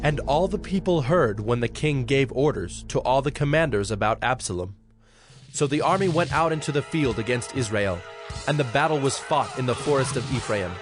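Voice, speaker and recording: A man's voice: medium pitch, animated, normal speed. Solemn male narrator over music. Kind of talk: scripted scripture narration. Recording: music bed under narration.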